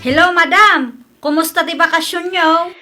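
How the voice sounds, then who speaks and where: A woman plays an eager, talkative housemaid and wife in a comedy audio drama. Her voice sits high and rings brightly, with an Ilocano accent. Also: a little slow, faint music behind, full character voice. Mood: cheerful, deferential.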